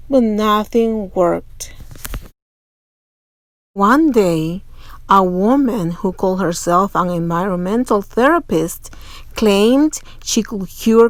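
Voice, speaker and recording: A woman reading a children's story aloud in an expressive storytelling style. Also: a little high, no echo. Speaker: storybook reader. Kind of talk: children's read-aloud narration.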